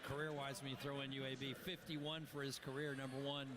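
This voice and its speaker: Man, live basketball color commentary, flat intonation, very noisy arena. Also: medium pitch, a little fast. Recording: very loud arena noise behind.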